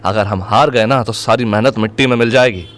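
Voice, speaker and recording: A man's voice: fairly low pitch, fairly quick, a bit bright, natural voice. A man playing a cocky, crude young student election leader in an audio drama, slangy and swaggering. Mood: determined, brash. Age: young adult. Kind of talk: Hindi audio drama acting. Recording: vehicle noise behind the voice.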